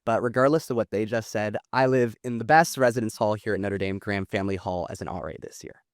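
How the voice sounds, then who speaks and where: Fast-talking young man student. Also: lowish voice, dry recording, studio quality. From Michigan. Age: 20s. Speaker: student panelist.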